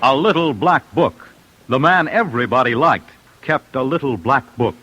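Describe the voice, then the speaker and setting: A man's voice: medium pitch, lively intonation, normal speed, bright, forceful tone, poor audio. A man narrating an old-time radio crime show in a punchy, clipped, suspenseful way. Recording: old radio broadcast with music bed.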